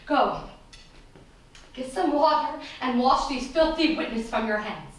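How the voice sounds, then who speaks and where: Woman playing a very high-voiced, ruthless noblewoman. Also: a little slow. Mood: urgent, commanding. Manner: commanding, declamatory. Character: commanding, scornful, ruthless noblewoman, female. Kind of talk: Shakespearean stage acting. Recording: very echoey hall, distant mic.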